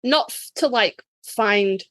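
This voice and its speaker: A woman's voice: medium pitch, slow, fairly bright tone, dry recording. A woman co-hosting a podcast, chatting casually in a blunt, informal way and often restarting her phrases. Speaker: podcast co-host. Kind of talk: casual chat.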